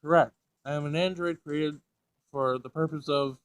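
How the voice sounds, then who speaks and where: A man's voice: a little high, steady tone, moderate pace, clear, resonant tone, no echo, lightly acted. Visual novel android, read by a man: female machine, literal-minded, formal and emotionless in delivery. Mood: flatly corrective. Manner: pedantic, clinical, formal wording.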